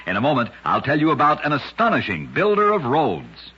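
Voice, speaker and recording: A man's voice: mid-range voice, wildly swooping pitch, brisk, bright, forceful tone, slightly rough. A man narrating short curiosities for radio in a scripted, dramatic style with emphatic storytelling. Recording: dull lo-fi old radio recording.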